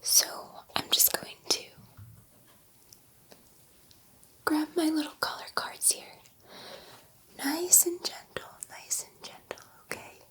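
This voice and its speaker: Woman playing a gentle whispering alien scientist. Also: sits high, level tone. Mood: encouraging. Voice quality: soft breathy whisper. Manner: soothing, slow, repeating words reassuringly. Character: gentle, excitable female alien scientist soothing an abducted human. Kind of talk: ASMR roleplay.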